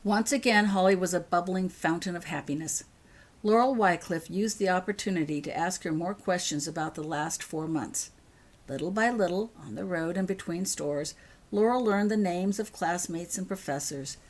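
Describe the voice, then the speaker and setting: Female storyteller, slightly low voice, reading scripted fiction as an audiobook. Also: normal speed. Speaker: author reading own story.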